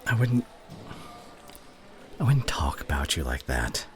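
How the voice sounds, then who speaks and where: A man playing an exasperated, teasing college student in an ASMR roleplay, with a halting, sighing, sarcastic delivery. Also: rumbling low, very animated, heavily acted. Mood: exasperated, defensive. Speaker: audio roleplay voice actor. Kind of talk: ASMR roleplay acting, one side of a conversation. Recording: clear background ambience noise.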